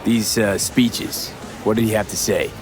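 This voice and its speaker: Terse, low-voiced tough mercenary played by an actor. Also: male voice, fairly even intonation, a little slow, over music, a crowd in the background, close to an everyday voice. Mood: businesslike. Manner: terse, clipped questioning. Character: tough, menacing young male mercenary in a dystopian city. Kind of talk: video game dialogue acting.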